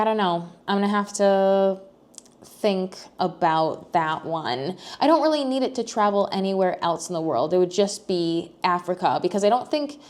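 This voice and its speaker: A woman's voice: medium pitch, darkish tone. An American young woman talking casually to camera in a personal vlog, thinking aloud with many fillers and restarts. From United States. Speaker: vlogger.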